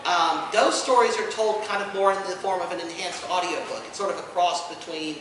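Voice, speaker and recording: A man giving an informal panel presentation to an audience, an enthusiastic explainer who speaks haltingly with many asides. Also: high voice, steady tone, a bit bright. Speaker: convention panelist presenting audio drama releases. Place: indoor convention panel room. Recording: echoey room from far off, noisy.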